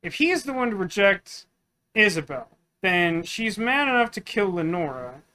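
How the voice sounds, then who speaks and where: High-pitched, bright-voiced man gaming. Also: very animated, moderate pace. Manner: musing, puzzling through aloud. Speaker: gaming video host. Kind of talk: casual let's play commentary, thinking aloud.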